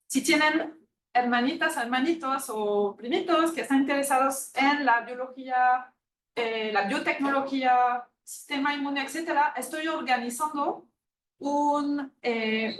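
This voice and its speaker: A woman from France with a French accent giving a friendly, hesitant university lecture on immunology, often pausing with fillers and correcting herself. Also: high voice, fairly bright tone, slight background noise. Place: lecture room. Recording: echoey lecture room, distant mic.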